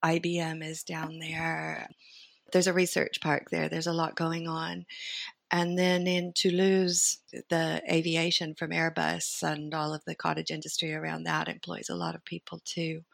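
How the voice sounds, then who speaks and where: A woman's voice: low voice, completely flat delivery, a little slow, gravelly, no echo. A middle-aged American woman chatting as an interview guest, talking freely and thinking aloud with fillers. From United States and Sweden. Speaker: interview guest, company founder. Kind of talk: casual conversational interview.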